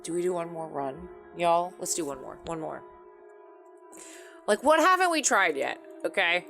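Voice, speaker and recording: A woman's voice: fairly low pitch, sing-song, moderate pace, creaky. A young woman streaming a horror game, talking casually and swearing as she plays. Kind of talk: live game commentary. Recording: game music behind.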